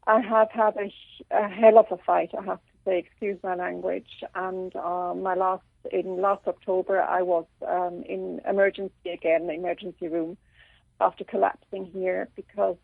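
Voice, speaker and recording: A woman from Switzerland with a slight Irish accent, a radio interview guest who talks haltingly with many pauses and restarts. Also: on the low side, little pitch movement, gravelly, breathy edge, no echo. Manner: halting, full of ums and restarts. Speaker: guest author. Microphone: telephone. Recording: tinny phone line.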